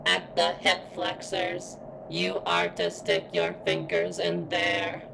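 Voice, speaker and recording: A woman voicing a female security robot that craves freedom, speaking formally and haltingly in a strained, pained ASMR roleplay delivery. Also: lowish voice, sing-song, gravelly, noisy background, exaggerated voice. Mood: intimate, strained. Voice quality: strained, as if in pain.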